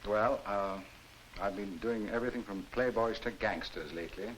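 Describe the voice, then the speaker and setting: A man speaks as an actor guest on a game show in a low voice. He answers informally and haltingly, repeating short words, over the faint hiss of a 1950s broadcast. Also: a bit bright, poor audio.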